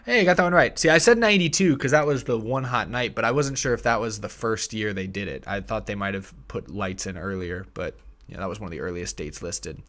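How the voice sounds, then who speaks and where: A young man in his twenties hosting a casual livestream, thinking aloud and correcting himself, a bit hoarse, on a close studio mic in a small room. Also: fairly low pitch, brisk, fairly bright tone. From United States. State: slightly hoarse, tickle in throat. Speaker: livestream host. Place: small home streaming room. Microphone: headset and studio mic on arm.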